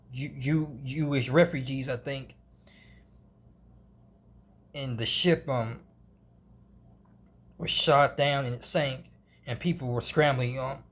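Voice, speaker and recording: A man's voice: medium pitch, a bit bright. Man, amateur film reviewer; hesitant, rambling delivery, restarts often, middle-range voice. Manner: halting, with frequent restarts and hedging.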